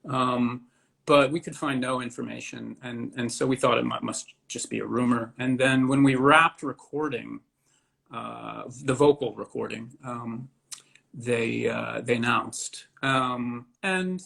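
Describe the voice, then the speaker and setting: A man with a gravelly, creaky voice at a middle pitch answers as an interview guest. He is casual but deliberate, building his thoughts haltingly in short phrases. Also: steady tone, a bit bright. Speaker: interview guest, theatre director.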